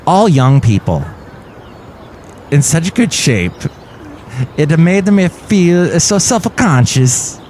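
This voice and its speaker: A man hosting a comedy podcast, rambling jokily with deadpan asides. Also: a little high, takes it slowly, pauses often, a little hiss or hum. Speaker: podcast host.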